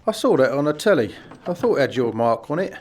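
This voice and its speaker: A man's voice: mid-range voice, very animated, fast, a little hiss or hum, close to an everyday voice. A man playing a wry gang underling and getaway driver in a comedy radio play, with dry delivery.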